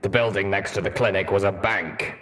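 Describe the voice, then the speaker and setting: Low male pony soldier, acted by a reader, stoic, terse, measured, analytical, storybook. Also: fairly even intonation, a bit bright. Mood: matter-of-fact. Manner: terse, measured, matter-of-fact.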